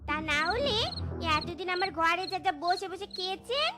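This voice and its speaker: A woman's voice: very high voice, lively intonation, moderate pace, heavy background noise. A woman voicing a greedy, bossy cartoon wife who scolds and insists. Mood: stern. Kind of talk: cartoon character acting.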